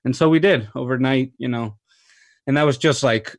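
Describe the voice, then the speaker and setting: A man from Mexico, a cinematographer, talking about his career in a relaxed interview with frequent fillers and restarts. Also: mid-range voice, normal speed, no room sound, clean studio sound. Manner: thoughtful, many fillers and restarts, enthusiastic. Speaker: cinematographer guest.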